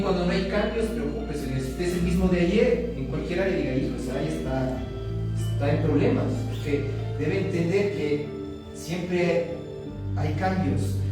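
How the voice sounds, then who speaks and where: A pastor preaches to his congregation in a fairly high voice, firing questions at them and calling for replies. He is heard from a distance in a very echoey church hall, with loud music playing underneath his words. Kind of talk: sermon.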